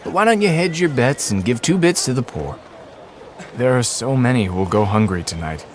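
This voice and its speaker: An actor playing a humble, kindly monk in a video game cutscene, earnest, courteous and a little hesitant. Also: male voice, mid-range voice, animated, moderate pace, lightly acted. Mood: kindly, persuasive. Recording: noisy street ambience behind dialogue.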